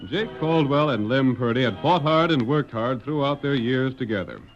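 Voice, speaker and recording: A man's voice: mid-range voice, expressive, moderate pace, soft background music. A man with an American accent narrating a scripted old-time radio drama in a formal, dramatic announcer style. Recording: dull old radio recording.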